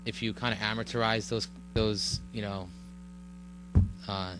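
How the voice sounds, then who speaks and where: A man speaking at a village board meeting, explaining a road project in a halting way with many fillers and restarts. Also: fairly low pitch, little pitch movement, music in the background, poor audio. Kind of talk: public meeting testimony. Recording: mains hum under the voice.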